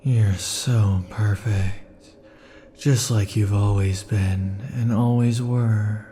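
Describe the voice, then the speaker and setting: A man's voice: lowish voice, steady tone, drawn-out words, slight background noise. A man as an obsessive immortal lover. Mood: tender possessive. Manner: soft, sighing, lingering murmur. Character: obsessive clingy immortal male lover, possessive. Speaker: ASMR roleplay performer. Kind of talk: ASMR roleplay.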